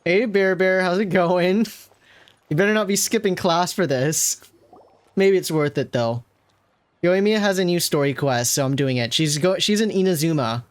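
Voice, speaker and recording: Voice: medium pitch, moderate pace. A game streamer giving casual live commentary, with chatty asides to viewers and to the characters on screen.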